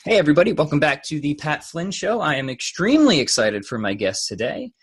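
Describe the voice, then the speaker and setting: A man's voice: on the high side, very animated, brisk, husky. A young man hosting an interview podcast, enthusiastic and rambling with frequent restarts. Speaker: podcast host. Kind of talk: casual interview chat.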